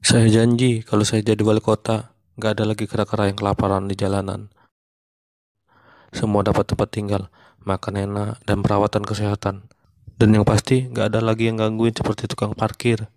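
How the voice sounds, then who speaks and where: A male cartoon monkey comedian, played by a man works the crowd with a low, level voice. His delivery stays flat and deadpan throughout. The sound is dry and close, with no room around it. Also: a little fast, dry recording, natural voice. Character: male monkey stand-up comic running for mayor. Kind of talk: stand-up comedy routine.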